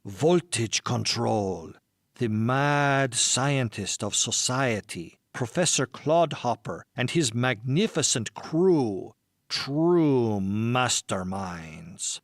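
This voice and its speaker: A man voicing a solemn, grandly oratorical rebel leader in an audiobook, declaiming slowly. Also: mid-range voice, fairly bright tone, no echo, clean studio sound, pitched below the performer's own voice, exaggerated voice. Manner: slow, declamatory. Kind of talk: audiobook character acting.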